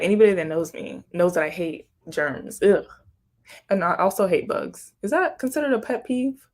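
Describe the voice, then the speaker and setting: A young woman, born in Haiti and raised in Florida, chatting casually as she answers viewer questions, rambling and second-guessing herself. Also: fairly low pitch. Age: young adult. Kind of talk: casual chat.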